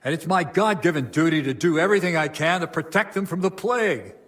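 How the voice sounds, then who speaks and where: An actor playing a stern, burdened abbot of a medieval monastery, grave and formal, in a dramatic game cutscene. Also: male voice, fairly high pitch, fast talker, fairly bright tone, close to an everyday voice. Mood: angry, commanding.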